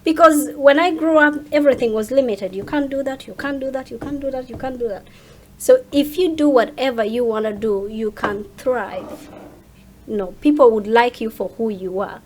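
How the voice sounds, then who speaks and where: A woman in her thirties with a slightly high voice, speaking as a guest in a casual chat. She is emphatic and often repeats a phrase to press it home. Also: moderate pace. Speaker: interview guest.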